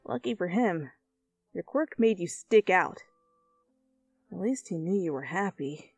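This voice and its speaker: A woman reading fan fiction as an expressive, dramatic narrator who swears freely. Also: lowish voice, a little slow, slightly rough, dry recording. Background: soft music.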